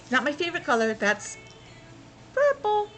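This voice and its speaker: A woman's voice: high-pitched, wildly swooping pitch, normal speed, a bit bright, soft background music. A woman teaching young children in a playful, exclamatory way, asking questions and sounding out words. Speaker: preschool teacher. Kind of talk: interactive lesson for young children.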